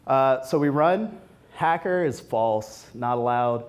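A man in his forties presenting informally at a tech meetup, conversational, with animated asides, speaking into a lapel mic. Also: medium pitch, takes it slowly. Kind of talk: informal technical presentation with demos. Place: meetup room, standing before a small audience.

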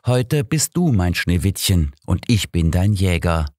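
A man's voice: sits low, very animated, unhurried, a little mellow, dry recording, clean studio sound. A man voicing a cruel, vengeful grown son who taunts his victim in an audiobook. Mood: cruel, menacing. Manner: taunting, menacing.

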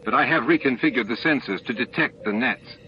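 A man's voice: medium pitch, brisk, dull audio, slight background noise, close to an everyday voice. A man reading the part of an eager, technically minded male starship engineer in an emphatic, explanatory manner. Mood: keen explaining.

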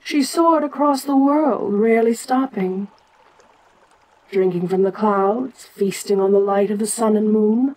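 An ancient giantess kaiju queen, acted by a woman, speaks very slowly and with regal deliberation. Her female voice sits at a middling pitch. She muses imperiously, pausing often, as in an intimate audio roleplay monologue. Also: warm tone, a little hiss or hum, lightly acted, low-quality recording. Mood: fond, wistful.

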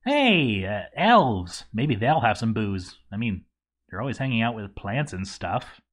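A man's voice: lowish voice, very animated, a little slow, pitched below the performer's own voice. A man voicing a grouchy, boozy zombie dwarf overseer in a game journal story, stammering and exasperated. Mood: rambling, grumbling. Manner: stammering, exasperated, hammy delivery. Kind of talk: dramatic reading of a game journal.

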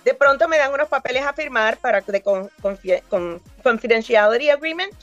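A woman born in Cuba, in her fifties, speaks in a slightly high voice over a video call. She talks in an animated way and gestures as she tells stories. The sound is close and dry, with faint music behind her. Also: brisk, no echo, lo-fi sound. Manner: animated, gestures a lot while talking. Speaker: voice actor guest. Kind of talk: remote interview, storytelling.

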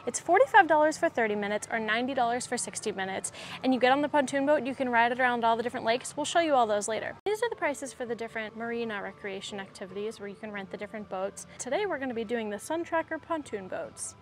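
A woman's voice: fairly high pitch, expressive, fast, slight background noise. A woman hosting a casual, chatty travel vlog outdoors, with an upbeat, playful manner. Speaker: vlog host. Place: outdoors, mostly on a boat on a lake.

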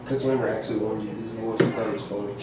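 A quick-talking teenage man complains about his shifts in loose chat. He sounds distant from the mic, and the audio carries heavy echo and noise. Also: on the low side, fairly even intonation. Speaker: student concession worker. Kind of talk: casual chat. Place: press box at an outdoor baseball field. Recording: echoey, distant, noisy camera audio.

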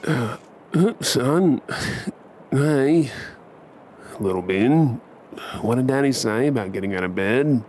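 Slow, tender Australian dad acted by a man. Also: medium pitch, animated, a little mellow, slight background noise. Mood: weary, soothing. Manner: unhurried, affectionate, coaxing. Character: tender, playful husband and dad of a toddler. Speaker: ASMR role-play performer. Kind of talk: intimate ASMR role play.

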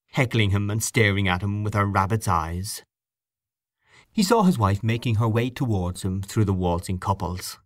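A male audiobook narrator reading literary fiction in a measured, expressive way. His voice sits on the low side yet moves through a lively range of pitch. The recording is close and dry, with almost no room sound. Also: no echo.

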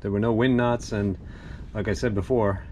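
A man narrating his kayak fishing day in a relaxed, reflective voice-over. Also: fairly low pitch, normal speed, background noise. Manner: easygoing, reflective. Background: music.

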